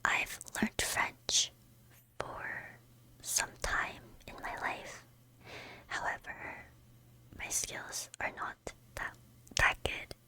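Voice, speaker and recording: Female streamer, first language Korean, slow breathy whisper with a lot of ums, very high and sharp-toned. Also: poor audio. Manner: soft, unvoiced whispering; hesitant, with frequent ums and soft laughs. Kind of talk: whispered ASMR chat with chat readers.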